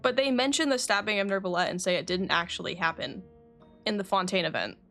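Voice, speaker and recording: A woman's voice: medium pitch, fast talker, a bit bright. A woman reacts casually on a game livestream. Her mid-range voice rambles informally, thinking aloud. Faint game music plays in the background. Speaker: game streamer.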